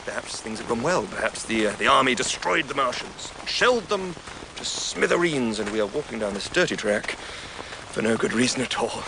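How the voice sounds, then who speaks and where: An actor playing a weary, educated Edwardian writer, hesitant and wry, in a radio drama. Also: male voice, mid-range voice, expressive, moderate pace, vocal fry, background noise. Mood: anxious, hopeful. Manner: hesitant, wry, politely exasperated.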